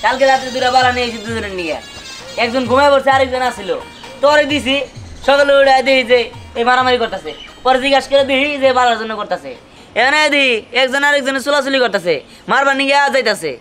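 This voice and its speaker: A man playing an exasperated husband, rapid and high-pitched. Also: soft background music. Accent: Bangladeshi colloquial. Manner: scolding, rapid, forceful. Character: exasperated young husband of two quarrelling wives. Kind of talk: comic cartoon dialogue.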